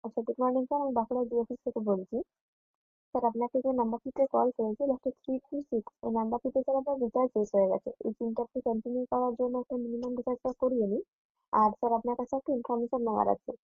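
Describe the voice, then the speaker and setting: A woman's voice: on the high side, fairly even intonation, racing, no echo. A woman speaking politely and formally as a customer care agent on a call. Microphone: telephone. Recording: tinny phone line, faint music.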